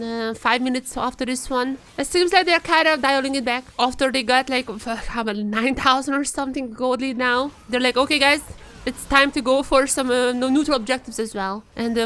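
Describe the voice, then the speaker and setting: High-pitched woman esports commentator. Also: clean tone. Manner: excitable, chatty, rising into shouts in action. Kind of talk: live game commentary, watch party.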